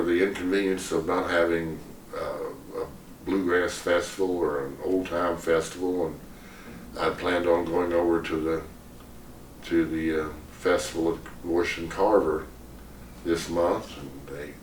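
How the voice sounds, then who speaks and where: A man's voice: sits low, roomy sound, distant mic, a little hiss or hum, rough recording. An older man, a singer-songwriter, talking reflectively and hesitantly in an unscripted interview, rambling a little as he goes. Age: older, 70s or above.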